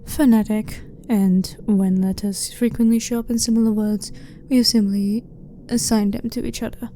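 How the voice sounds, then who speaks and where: Very mellow, blunt alien scientist played by a woman. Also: fairly high pitch, fairly even intonation, lightly acted. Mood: blunt, clinical. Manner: blunt, formal, matter-of-fact, measured. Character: blunt, clinical but harmless female alien scientist. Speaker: ASMR voice performer. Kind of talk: ASMR sci-fi roleplay. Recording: clearly noisy ambient background.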